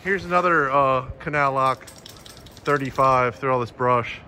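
A man narrating a hiking vlog in a casual, matter-of-fact, halting way as he walks. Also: mid-range voice, bright, forceful tone. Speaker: hiking vlogger. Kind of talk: casual walk-along narration. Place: outdoors in woods by a river. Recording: outdoor phone video with ambient noise.

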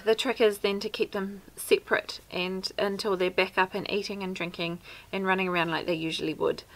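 A woman's voice: medium pitch, a bit bright, rough recording. A woman in her thirties with a New Zealand accent, chatting practically to camera outdoors by a wooden fence about homestead care. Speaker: homesteader vlogger. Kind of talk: casual how-to talk to camera. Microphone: camera at arm's length.

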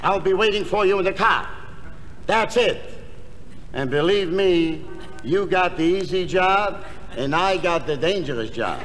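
A slow, condescending crook boss. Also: male voice, high voice, animated, fairly bright tone. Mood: bossy, instructing. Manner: deliberate, condescending. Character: exasperated, bossy, self-important bank-robber boss. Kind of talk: 1960s comedy album sketch acting. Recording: noisy, reverberant 1960s comedy record.

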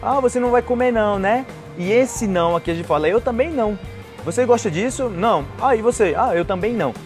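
A man's voice: high-pitched, expressive, brisk, darkish tone, soft background music. A young man with a Brazilian accent teaching a conversational language lesson to camera, explaining with acted example phrases. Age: young adult. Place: outdoors on a patio by trees and a street. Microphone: lapel mic.

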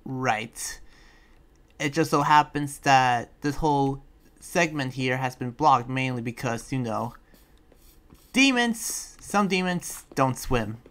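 A man playing a talkative, awkward young demon slayer in an improvised Minecraft roleplay, rambling and halting as he goes. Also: medium pitch, animated, a bit bright. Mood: rambling, explaining. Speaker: roleplayer playing the lead character. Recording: music behind the voice.

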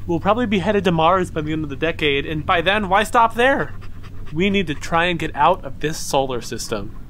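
An actor, a man, playing an excitable, defensive alien enthusiast out walking a dog in an audio drama. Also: a little high, a little fast, slight background noise. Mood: matter-of-fact. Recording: music behind the voice.

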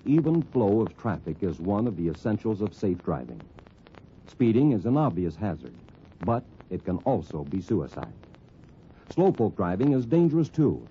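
A man reading a 1950s public service announcement in a formal, emphatic announcer's manner. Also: fairly low pitch. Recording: dull old radio recording, faint noise.

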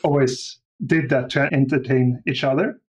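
A man talks as a podcast guest in a casual interview style. His voice sits at a middle pitch for a man, and he speaks conversationally. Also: fairly even intonation, normal speed, highs cut off. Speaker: podcast guest, audio drama creator.

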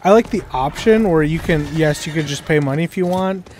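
A man's voice: fairly high pitch, fairly quick, a little mellow, slight background noise. A man playing a co-op game and chatting casually. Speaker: co-op gamer. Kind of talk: casual chat while gaming. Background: faint game music.

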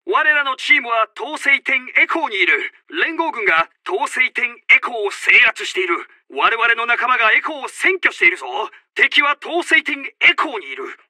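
A man's voice: extremely high pitch, pushed and bright, dry recording, full character voice. A man playing a stern, urgent Japanese army officer in a war video game, barking clipped tactical announcements and orders. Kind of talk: shouted tactical voice lines.

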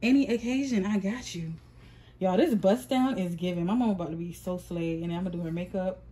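A woman's voice: on the low side, brisk, mellow. A young adult woman who makes wigs, talking casually to her viewers as she vlogs her day on a phone held at arm's length, calling out to them over and over. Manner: chatty, keeps calling out to viewers with "y'all". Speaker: wig maker and vlogger. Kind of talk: casual vlog talk to camera. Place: home wig studio, then the gym, home and a mall at night. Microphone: phone held close at arm's length.

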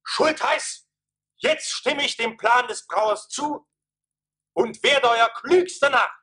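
An actor playing a hot-headed, jealous, sulky townsman in a radio play, excitable and indignant. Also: very high-pitched, slow, slightly rough, heavily acted. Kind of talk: German radio play acting.

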